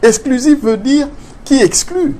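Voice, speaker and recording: A man's voice: extremely high pitch, a little slow, dark tone. An older man with a Congolese French accent, a studio guest explaining with rhetorical questions and Lingala phrases mixed in. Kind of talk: opinion interview.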